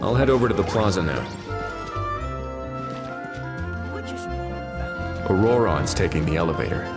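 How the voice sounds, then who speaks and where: An actor playing a watchful, composed government investigator in a video game, with a measured, dryly polite manner. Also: male voice, low-pitched, expressive, loud music behind the voice. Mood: watchful musing.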